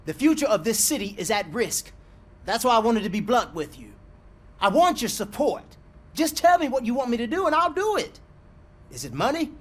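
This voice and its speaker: Pushy mayor type, really high voice, super animated, wheedling n blunt, game cutscene. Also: male voice, moderate pace, full character voice. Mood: pushy, pleading. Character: pushy, scheming male city mayor seeking election votes. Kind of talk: video game cutscene dialogue.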